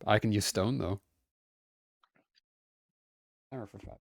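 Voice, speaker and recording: A man streaming a game, chatting casually with friends, laughing often and greeting viewers brightly. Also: lowish voice, fast, creaky, dry recording, clean studio sound. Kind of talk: casual livestream chat.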